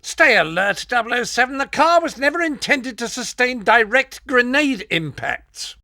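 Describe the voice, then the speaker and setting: A fussy gadget expert, nagging a spy in a video game. The voice is high for a man and bright, prim and dryly sarcastic, in a close, dry recording. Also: very animated, moderate pace, vocal fry, dry recording, heavily acted. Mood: nagging caution. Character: fussy, exasperated male gadget master advising a spy. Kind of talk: scripted video game voice acting.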